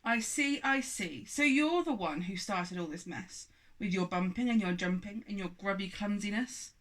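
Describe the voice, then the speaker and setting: Woman voicing a booming, furious father giant. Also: expressive, normal speed, natural voice. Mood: suspicious, accusing. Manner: booming, accusatory, pompous. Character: huge thundering angry father giant with quivering moustache.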